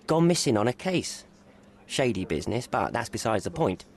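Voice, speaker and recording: An actor playing a talkative, wry police officer stuck on desk duty in a video game. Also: male voice, medium pitch, sing-song, normal speed.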